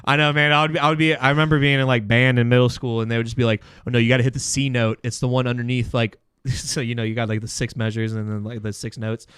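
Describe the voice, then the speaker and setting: A man's voice: medium pitch, flat delivery, fast, hardly any pauses, fairly bright tone, clean tone, dry recording. Young male host, jokey and playful, clean resonant voice, dry close recording. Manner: jokey, teasing, laughs often. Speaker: podcast host. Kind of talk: casual joking chat.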